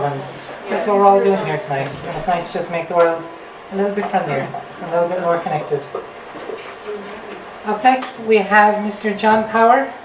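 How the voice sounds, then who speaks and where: A woman hosting a live open-mic event in an informal, encouraging, chatty way. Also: on the low side. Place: indoor bar venue at a live open-mic night. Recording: echoey room, distant mic, loud crowd.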